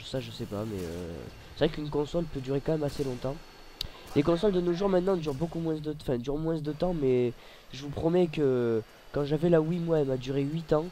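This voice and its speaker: A man's voice: a little high, warm tone, a little hiss or hum. A man with a cold, commentating casually over his gameplay at a desk mic, rambling and hesitant with many fillers. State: has a cold. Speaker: gaming YouTuber.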